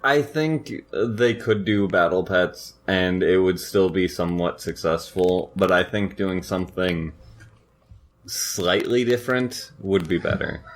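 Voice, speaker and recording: A man's voice: sits low, measured pace. A man on a podcast panel, giving his opinions in a casual conversational style. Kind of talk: casual chat.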